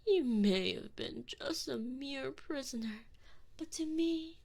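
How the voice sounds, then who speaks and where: A woman's voice: high voice, expressive, darkish tone, heavily acted. A woman playing a sadistic, mock-polite alien enforcer in an ASMR roleplay, taunting sweetly and possessively into a close binaural microphone. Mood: gleeful mockery. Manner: slow, mock-sweet, taunting, often dropping into breathy whispers.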